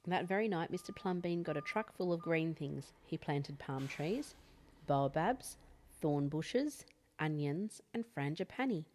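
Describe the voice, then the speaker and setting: A woman reading a picture book aloud as an expressive children's storyteller. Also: low-pitched, a little slow, slight background noise. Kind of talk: expressive read-aloud bedtime story narration.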